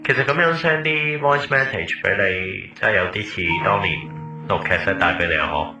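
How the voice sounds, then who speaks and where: A wistful former lover, played by a man, talks in a lowish voice with a Hong Kong Cantonese accent. His recorded monologue is casual and nostalgic, like a guide reminiscing, heard a little distant from the mic with music clearly behind. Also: normal speed, echoey room, close to an everyday voice. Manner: casual, nostalgic, tour-guide patter mixed with English words. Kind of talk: audio drama monologue as voice message.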